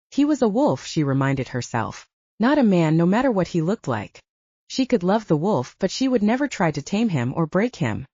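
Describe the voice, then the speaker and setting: Mellow, low-voiced woman narrating fiction. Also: breathy edge, no room sound, lo-fi sound. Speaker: audiobook narrator.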